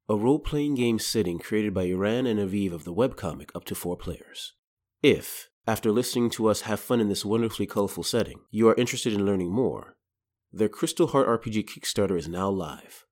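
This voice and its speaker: A man's voice: on the low side, a little mellow. A man casually announcing and introducing things as a podcast host.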